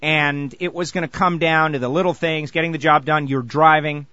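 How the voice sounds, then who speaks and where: A man hosting a sports radio show, eager and animated as he interviews a guest. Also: on the high side, moderate pace, no room sound, muffled recording. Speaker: radio host.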